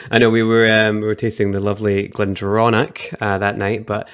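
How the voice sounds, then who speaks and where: A young Scottish man with a gravelly, low-pitched voice tells stories in an easygoing, chatty way. He sounds close and dry, speaking into a headset mic on a video call from home. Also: a little slow, dry recording. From Scotland, Edinburgh. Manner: chatty, relaxed, laughs often. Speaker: guest, whisky brand ambassador. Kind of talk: casual storytelling chat.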